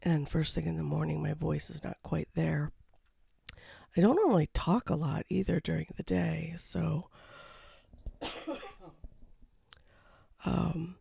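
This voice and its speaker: An artist talking casually over a painting time-lapse, with a froggy voice from a cold and a low-key, rambling manner. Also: creaky, warm tone, breathy edge, dry recording, clean studio sound. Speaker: watercolor artist. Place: home studio.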